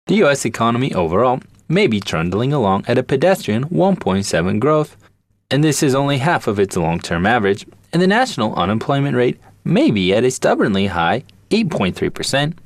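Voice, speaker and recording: A man delivers a scripted radio news report at a medium pitch. His intonation moves up and down a lot, and the sound is dry. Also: big pitch swings, a little fast, dry recording.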